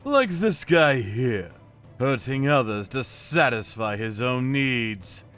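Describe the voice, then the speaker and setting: A man giving dramatic first-person narration as a calm, righteous, menacing vigilante in an animated manga story. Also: medium pitch, takes it slowly, clean tone, soft background music. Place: studio voice-over with music behind.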